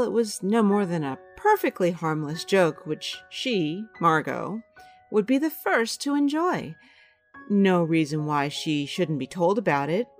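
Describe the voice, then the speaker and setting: An actress playing a guilty, scheming well-bred wife who confides in a measured, wry way in a radio drama. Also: female voice, medium pitch. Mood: sly, scheming. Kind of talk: radio drama acting with confessional narration.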